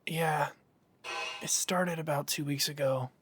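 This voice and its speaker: A man's voice: fairly high pitch, fairly even intonation, unhurried, background noise, natural voice. A man playing a weary, resigned young man in an audio drama, casual and dismissive. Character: sleepy, resigned young man, Aaron, downplaying strange noises. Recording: music under the voice.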